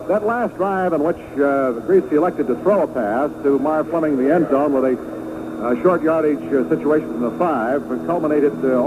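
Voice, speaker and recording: A male play-by-play announcer with a fairly high voice calls live football on vintage radio. He runs through the action in short, clipped phrases. The sound is thin and narrow, with clear noise over it.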